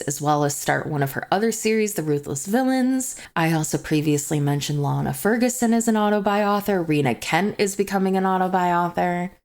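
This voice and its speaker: A young adult woman chatting as a book vlogger, gushing and confessional in manner. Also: medium pitch. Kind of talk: chatty book review to camera. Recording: music playing behind the voice. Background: background music.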